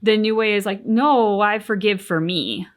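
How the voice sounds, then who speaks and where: A woman with a mid-pitched voice chats as co-host of a reaction show. She is earnest and articulate, leaning on words for emphasis as she thinks out loud. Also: moderate pace. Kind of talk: casual reflective discussion.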